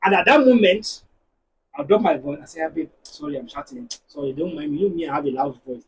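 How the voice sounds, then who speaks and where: A man with a Nigerian accent, a married guest on a discussion show, talking in an animated, preachy way and telling stories to make his points. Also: a little high, quick pace, gravelly, far from the mic, a little hiss or hum, low-quality recording. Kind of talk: casual panel discussion.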